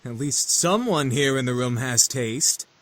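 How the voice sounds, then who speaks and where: An actor playing a refined young incubus in a visual novel, formal, polite and slightly haughty. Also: male voice, medium pitch, animated, unhurried, fairly bright tone, no room sound. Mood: proud, polite. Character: refined young male incubus, proud of his cooking. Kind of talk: visual novel game voice acting.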